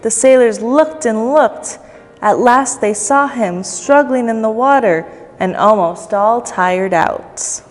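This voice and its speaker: Female storytime reader, expressive and playful with young listeners, long reverb, light music underneath. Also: fairly high pitch, slow. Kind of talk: children's storytime read-aloud. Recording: long echoey reverb, faint music behind.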